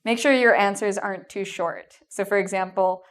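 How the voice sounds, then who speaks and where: A woman's voice: medium pitch, moderate pace, clean tone. A woman with a Canadian accent giving an English exam lesson, encouraging and reassuring, with frequent "um" and "okay?" checks. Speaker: English teacher. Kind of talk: video lesson with tips.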